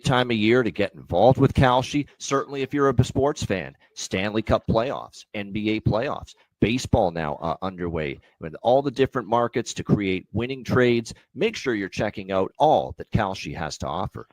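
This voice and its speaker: A man's voice: on the low side, expressive, moderate pace, few pauses, no echo, rough recording. A man hosting a hockey betting show, moving from upbeat sponsor reads to casual picks with frequent fillers. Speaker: host. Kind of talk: sports betting show chat.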